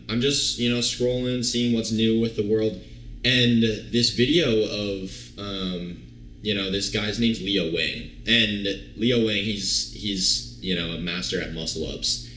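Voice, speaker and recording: A man of twenty-two telling his story as an interview guest, relaxed and rambling with lots of fillers and restarts. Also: lowish voice, a little slow, a little hiss or hum. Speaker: guest, online fitness coach. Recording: roomy echo.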